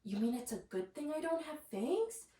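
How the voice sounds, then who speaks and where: A woman voices a young storybook vampire boy who is downcast and plaintive, in a high, questioning voice. It is heard in an echoey room from slightly off the mic. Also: very animated, normal speed, dark tone. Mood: puzzled. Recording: echoey room, distant mic, faint noise.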